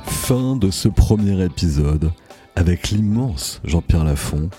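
Male podcast host, low and dark voice, faint music under him. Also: animated, slow. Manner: thoughtful, sums up guest's ideas. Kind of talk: interview and show outro.

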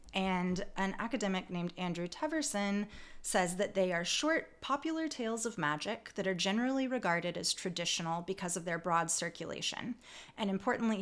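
Female academic guest, mid-range pitch, conversational scholarly explanation, articulate delivery.

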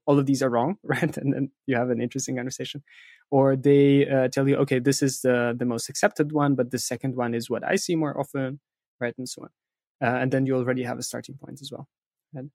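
A man with a medium-pitched voice speaks as an interview guest in a dry, echo-free recording. He explains his working methods in a conversational way, often adding little tag questions to check he is understood. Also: little pitch movement, a little fast, darkish tone. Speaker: interviewee, content writing professional.

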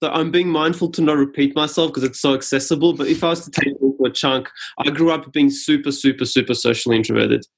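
A man's voice: medium pitch, steady tone, gravelly, slightly rough. A man from Serbia, a Serbian speaker, talking thoughtfully as a podcast guest. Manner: thoughtful, measured, earnest. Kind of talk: reflective interview talk.

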